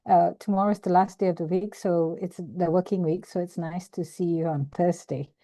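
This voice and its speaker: A woman's voice: fairly low pitch, steady tone, normal speed, warm tone, dry recording. An older activist woman from Malaysia speaking on a video call webinar, hesitating often with ums and restarts. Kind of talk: casual presentation over video call.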